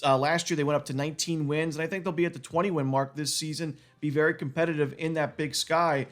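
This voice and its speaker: A man with a cold, his voice going a little, giving a quick solo rundown of sports betting picks packed with stats. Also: a little high, fairly even intonation, a bit bright.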